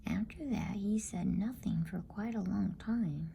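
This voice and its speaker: A woman reading a bedtime story in ASMR style, in a soft, breathy, soothing whisper. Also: medium pitch, slight background noise. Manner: slow, soothing, hushed.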